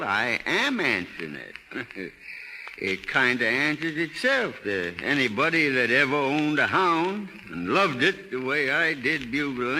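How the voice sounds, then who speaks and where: A hissy 1930s radio recording of an old rural American hound owner. He drawls slowly and haltingly, with pitch rising and dropping widely, in a bright, forceful tone, sentimental and stubborn. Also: heavily acted, poor audio. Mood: earnest, stubborn. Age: older. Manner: halting, plain-spoken, chuckling delivery. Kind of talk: 1930s radio drama acting.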